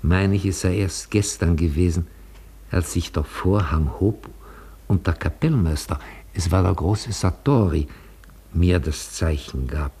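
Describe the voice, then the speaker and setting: An actor playing a gentle, nostalgic old retired opera tenor in a German radio play, courteous and halting. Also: male voice, deep voice, slightly rough, full character voice. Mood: proud, nostalgic. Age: older.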